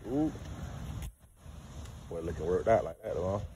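A man's voice: low-pitched, lively intonation, unhurried, warm tone. A young man casually vlogging about dog breeding while walking his dog on a neighborhood sidewalk, recorded on a handheld phone. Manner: laid-back, slangy, talks to his dog between remarks. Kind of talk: casual walk-and-talk vlog. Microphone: phone held while walking a dog. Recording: outdoor phone recording with clear background noise.

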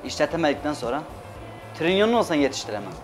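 A man's voice: on the high side, sing-song, racing. A man in his thirties from Adana in Turkey, a chef talking casually and emphatically as an interview guest, repeating words for stress. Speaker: chef and restaurant owner, guest. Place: TV studio kitchen set. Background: background music.

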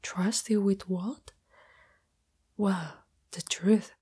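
A woman playing a shy, loving young spider-girl in an intimate, breathy whispered ASMR roleplay, speaking hesitantly and afraid of rejection. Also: medium pitch, fairly even intonation, drawn-out words, mellow, heavily acted. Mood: gentle, confiding. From Italy.

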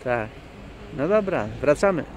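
A man's voice: fairly high pitch, sing-song, measured pace. A man narrating a casual family vlog with dry jokes, filming on a phone outdoors and in a shopping mall. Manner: chatty, dry joking asides. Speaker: vlogger filming his family. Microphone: handheld phone camera. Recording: noisy outdoor phone recording.

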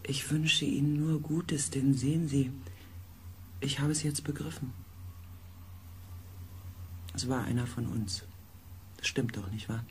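Guy playing earnest scifi expedition man, unhurried, gentle hushed bits, some noise behind him. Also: mid-range voice, steady tone, natural voice. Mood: intense, remorseful. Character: earnest, compassionate male space-expedition researcher recounting events. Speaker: narrator and character in a radio play. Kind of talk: German sci-fi radio drama acting.

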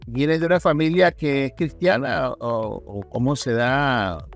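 Middle-aged podcast host over music. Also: male voice, mid-range voice, unhurried, vocal fry. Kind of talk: conversational interview hosting. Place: indoor room on a video call. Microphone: desk microphone close in front.

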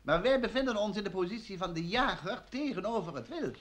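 Emphatic, excitable village man, high and lively, for radio drama. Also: fairly quick. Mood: self-assured. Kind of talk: Dutch radio play acting.

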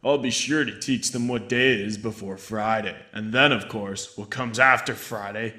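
A man voicing a smug, lecherous villain in a comedic anime parody dub, with a husky, gloating drawl. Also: lowish voice, normal speed, pushed and bright. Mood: scheming. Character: smug, lecherous villain plotting for online fame.

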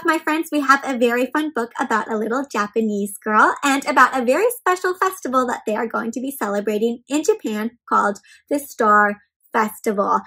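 A young woman with a high voice hosts story time for little kids. She speaks in an animated, expressive way, pausing to ask the children questions as she reads. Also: breathy edge. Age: young adult. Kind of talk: interactive read-aloud for young children. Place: small indoor room at home with bookshelves and plants.

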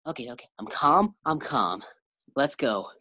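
Flustered, stammering sitcom husband, played by a woman: low register, lively, anxious, vintage radio style. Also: measured pace, breathy edge, dry recording, exaggerated voice. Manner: stammering, befuddled. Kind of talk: 1940s radio sitcom acting.

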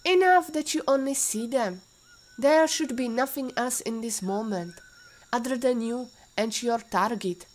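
An actress playing a patient, caring warrior mentor with a Russian accent and formal, instructive phrasing, in an audio drama. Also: female voice, fairly high pitch, unhurried, a little mellow, close to an everyday voice. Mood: stern, focused. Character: patient female warrior mentor, former Interpol agent, caring teacher.

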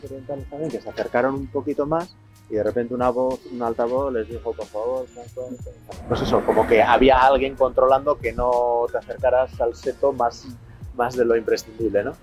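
Middle-aged Spaniard telling rambling anecdotes. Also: male voice, mid-range voice, fairly even intonation, fairly quick, a little mellow, faint music behind. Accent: Spanish from Spain. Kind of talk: casual anecdotal chat. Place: outdoors on residential and city streets.

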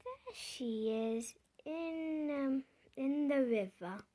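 Slow, high-pitched storybook reader. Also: warm tone. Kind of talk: slow picture-book read-aloud with questions to the listener. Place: indoors, book held before the camera.

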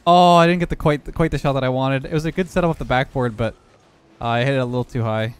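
Hoarse man calmly commentating his gameplay. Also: mid-range voice, fast talker, dry recording. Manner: relaxed running commentary on his own plays. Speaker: gaming YouTuber.

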